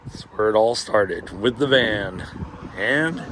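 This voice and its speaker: A man's voice: lowish voice, lively intonation, slow pace, fairly bright tone, slight background noise, poor audio. Man with a worn-out voice, outdoors. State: losing his voice. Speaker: vlogger touring a film location. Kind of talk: casual walking tour commentary. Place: outdoors at a roadside barbecue spot.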